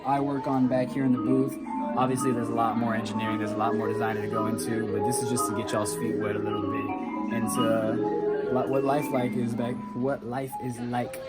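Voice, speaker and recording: A young man in his late teens with a deep voice, casually explaining sound equipment in a theater booth. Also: a little fast, loud music behind the voice, low-quality recording. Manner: relaxed, chatty explaining. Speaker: student sound engineer.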